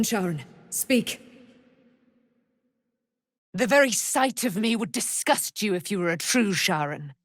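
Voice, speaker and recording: A woman's voice: mid-range voice, no room sound, studio quality. A woman playing a proud, righteous immortal warrior, daughter of a moon goddess, with grand, archaic, declamatory diction in a fantasy video game. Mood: taunting, defiant.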